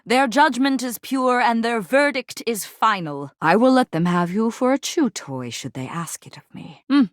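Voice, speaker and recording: An actress playing a proud, honor-bound warrior general in a video game, with formal, archaic, Shakespearean theatrical delivery. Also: female voice, on the high side, animated, moderate pace, no room sound, clean studio sound, close to an everyday voice. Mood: stern warning, then tender, earnest. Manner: formal, grandiose, poetic, solemn.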